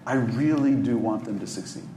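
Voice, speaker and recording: A man's voice: mid-range voice, a little fast, warm tone. A man from Minneapolis, a journalist, giving thoughtful remarks on a conference panel, with frequent ums and restarts. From Minneapolis, USA. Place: hotel conference room. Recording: echoey conference room, faint noise.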